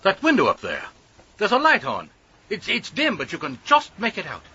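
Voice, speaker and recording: An actor playing a suave, scheming employer and widower, politely commanding and wordy, in a radio drama. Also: male voice, high-pitched, huge pitch swings, normal speed, pushed and bright, husky, dull audio, heavily acted. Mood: urgent, confident. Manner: genteel, wordy, insinuating.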